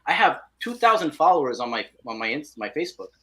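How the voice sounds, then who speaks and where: A man's voice: a little high, big pitch swings, quick pace, fairly bright tone. A man chatting informally as an independent film guest, speaking in short bursts. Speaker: independent filmmaker, podcast guest. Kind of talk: casual chat.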